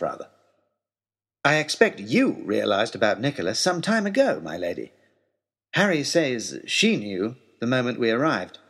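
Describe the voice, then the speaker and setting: A man playing an earnest, eager young gentleman adventurer in an audio story, narrating a letter in a formal, wordy, wry way with deliberate pauses. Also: mid-range voice, husky. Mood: wry, excited.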